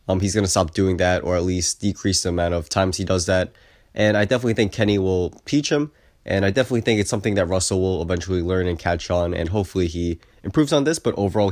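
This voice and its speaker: Congested young man, deep dry voice. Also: dry recording. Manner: easygoing, repeats "I definitely think". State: congested from a cold. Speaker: basketball video host. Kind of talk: casual sports recap commentary.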